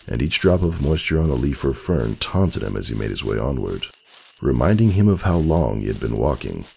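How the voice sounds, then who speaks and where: A man narrating a story as a dramatic scripted reading, with a gravelly, husky voice and expressive literary delivery. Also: rumbling low.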